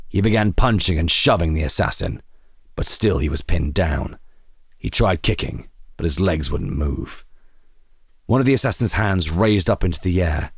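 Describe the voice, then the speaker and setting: Deep, husky male storyteller, dry sound. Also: dry recording. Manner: measured, suspenseful storytelling. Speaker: narrator. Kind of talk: dramatic scripted audio drama narration.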